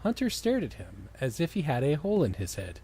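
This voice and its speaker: A man's voice: on the high side, huge pitch swings, fairly quick, warm tone, husky. A man doing a dramatic comedic reading of fan fiction, breaking into exasperated, mocking asides. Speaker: co-host of a comedy reading show.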